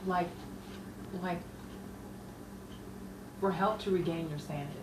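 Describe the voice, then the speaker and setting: A woman's voice: fairly low pitch, unhurried. A woman hosting and directing an audio drama troupe, talking casually to her cast and listeners. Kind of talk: casual directing and show sign-off. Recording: echoey noisy room, far from mic.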